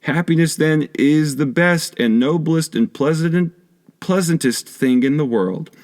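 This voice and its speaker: A man narrating a philosophical text as an audiobook, in a measured, formal reading manner. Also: a little high, a little mellow. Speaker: audiobook reader.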